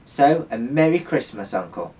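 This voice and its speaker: A man voicing a cheerful, warm-hearted young nephew in a storybook reading, earnest and pleading. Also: medium pitch, lively intonation, normal speed, close to an everyday voice. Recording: distant, dull lo-fi microphone.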